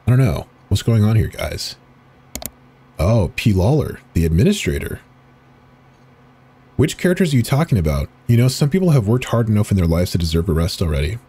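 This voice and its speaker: A man with a very husky, breathy voice reads in a slow, deliberate, ominous way. His pitch sits low but swings widely as he leans into each line, like a dramatic horror reading. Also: big pitch swings, warm tone. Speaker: channel host reading horror texts.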